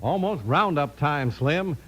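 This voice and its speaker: An actor playing a folksy cowpoke in a scripted vintage radio advertisement. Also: male voice, a little high, expressive, slow, over loud music. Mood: curious, friendly.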